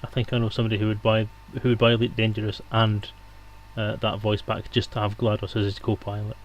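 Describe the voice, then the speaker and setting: A man's voice: fairly low pitch, monotone, quick pace. A man who streams games, chatting casually and informally in a group conversation. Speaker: game streamer.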